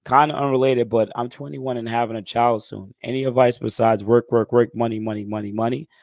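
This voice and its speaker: A man in his thirties hosting a casual live stream Q&A, talking in a laid-back, conversational way, his voice a bit hoarse as he gets it back. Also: medium pitch, steady tone, brisk, darkish tone, no echo, studio quality. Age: 30s. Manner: laid-back, conversational, frequent "you know what I'm saying". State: hoarse, recovering lost voice. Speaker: channel host answering live chat.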